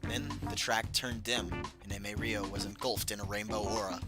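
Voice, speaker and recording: A man's voice: on the low side, fairly even intonation, normal speed. A man casually reading aloud a story he wrote as a child, with amused, self-mocking asides and music playing behind. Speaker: reader of his own childhood book.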